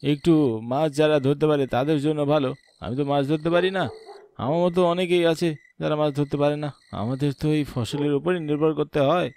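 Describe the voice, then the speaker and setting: A man's voice: fairly high pitch, fairly even intonation, fast, a little mellow, slight background noise, natural voice. A man playing a poor, earnest village farmer in a cartoon drama, plain-spoken and prone to nervous stammering. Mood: weary. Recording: music behind the voice.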